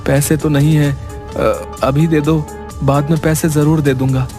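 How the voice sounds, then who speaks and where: A man's voice: a little high, level tone, measured pace, natural voice. An actor playing a poor, hungry, humble father in a cartoon story. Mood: desperate pleading. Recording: music behind the voice.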